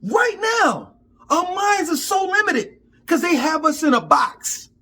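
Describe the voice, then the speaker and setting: A man's voice: very high-pitched, big pitch swings, a little slow, sharp tone. A man in his fifties giving an emphatic, profane, preachy rant to camera in street talk. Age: 50s. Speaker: vlogger.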